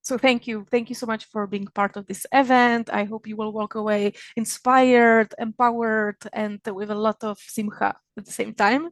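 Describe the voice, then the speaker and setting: A fairly high-voiced woman with a Czech accent welcomes listeners to an online gathering. She stops and restarts her sentences often and laughs between thoughts. The sound is dry and close, as on a video call. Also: dry recording. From Czech Republic. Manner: warm-hearted, laughing often, halting with many restarts. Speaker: magazine founder hosting an online event. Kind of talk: opening remarks at a virtual event. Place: home in Israel.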